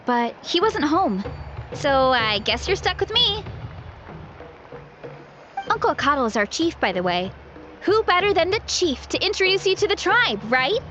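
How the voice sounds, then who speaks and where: Bright-hearted young athletic game heroine, high lively voice. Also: female voice, lo-fi sound, exaggerated voice. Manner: breezy, casual, dramatic about small things. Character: energetic athletic young tribeswoman, friendly, food-loving, laid-back yet headlong. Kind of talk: video game voice acting.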